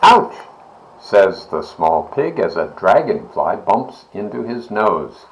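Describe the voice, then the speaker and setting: A man reading a children's storybook aloud in an expressive, deliberate manner. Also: sits low, rough recording. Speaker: volunteer story reader, library board member.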